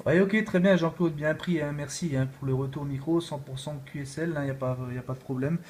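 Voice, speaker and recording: A man's voice: on the high side, a little fast, darkish tone. A man chatting casually as an amateur radio operator, rambling along with repeated filler tags. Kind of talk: casual radio contact chat.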